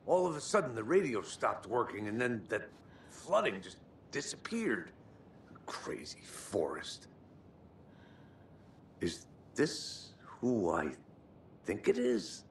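A wary, unhurried special agent. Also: male voice, medium pitch, very animated, vocal fry, heavily acted. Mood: puzzled. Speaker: FBI agent. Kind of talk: video game voice acting. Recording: roomy echo, faint noise.

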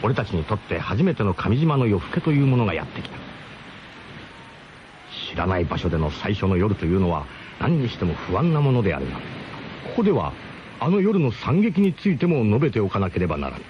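A man's voice: fairly low pitch, very animated, brisk, lo-fi sound, a little hiss or hum. A man playing a camper who narrates a comic radio drama in a grandiose, mock-solemn way with colloquial turns. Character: male camper grandly recounting his gang's ramshackle expedition.

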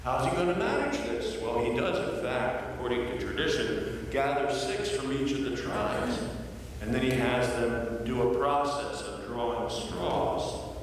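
An older male pastor preaches at an unhurried pace, telling his story with playful asides and lively quoted voices. His voice rings through a large church sanctuary, picked up from a distance with a long, roomy echo and a faint hiss in the background. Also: mid-range voice. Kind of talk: sermon. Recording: echoey hall from far off.

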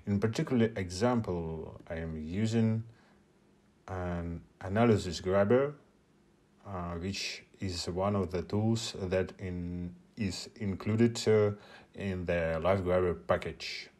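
A man's voice: deep voice, takes it slowly, a little mellow. A man, a non-native English speaker whose first language is Russian, giving a hesitant screen-share tutorial at a meetup with many filler sounds. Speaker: media artist presenting at a meetup.

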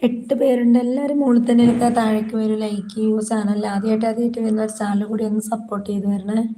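A woman's voice: a little high, flat delivery, fast talker, warm tone, slight background noise. A woman hosting a casual livestream, chatting with viewers, asking them questions and reading out their comments. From Kerala, India. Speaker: livestream host. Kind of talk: casual live chat with viewers.

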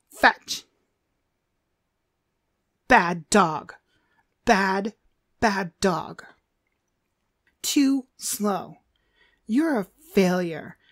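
A woman's voice: mid-range voice, dry recording, studio quality. A woman playing a cruel, domineering demonic dog trainer in an ASMR roleplay, contemptuous and commanding in her delivery. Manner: contemptuous, commanding, slow and deliberate. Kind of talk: ASMR roleplay, frequent breathy whispering.

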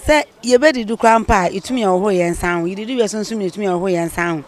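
A woman's voice: mid-range voice, very fast. A middle-aged woman answering at her market stall, matter-of-fact. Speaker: market trader. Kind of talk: street interview answers. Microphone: handheld mic held by interviewer.

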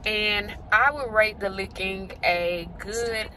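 A woman's voice: mid-range voice, fairly even intonation, slow pace, background noise. A woman in her twenties casually narrating her vlog as she goes along. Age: 20s. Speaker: vlogger. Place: indoors in condo rooms and inside a car. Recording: echoey rooms and car, music behind.

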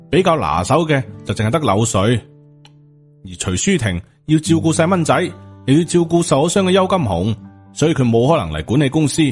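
A man with a Hong Kong Cantonese accent narrating a novel as an audiobook storyteller. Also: mid-range voice. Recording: music under the voice. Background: background music.

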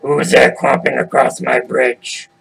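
A man's voice: medium pitch, monotone, measured pace, creaky, fairly bright tone, a little hiss or hum. A man voicing a greedy, grumpy troll in a storybook tale, blunt and demanding. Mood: menacing. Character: greedy, grumpy bridge troll, menacing and gullible.

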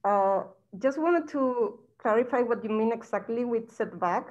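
Slow, halting woman in a mask. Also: fairly high pitch, a little mellow. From Chile. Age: young adult. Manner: halting, many uhs and restarts, non-native phrasing. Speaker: current graduate student. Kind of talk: answering questions on a video call. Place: bright indoor room, wearing a face mask. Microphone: laptop webcam mic. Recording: dull lo-fi video call audio.

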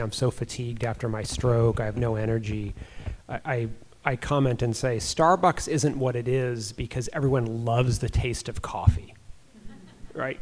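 A man's voice: mid-range voice, darkish tone. A middle-aged man, a doctor giving informal answers on a panel through a handheld microphone. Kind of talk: informal expert Q&A. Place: auditorium stage. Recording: faint background noise.

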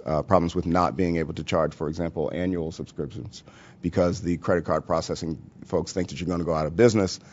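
A man with a very deep voice speaks on a conference panel in a measured, point-by-point way, often pausing on fillers. The recording is dull and lo-fi. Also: a little mellow. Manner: measured, policy-focused, many "uh" fillers. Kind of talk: panel presentation. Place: conference room at a workshop.

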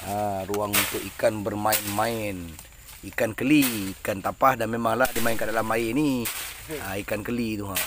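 A man's voice: medium pitch, background noise. A middle-aged man with a Malaysian Malay accent giving casual, laughing commentary while fishing from a small boat on a river. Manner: chatty, laughing often, teasing his companion. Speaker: fishing vlogger.

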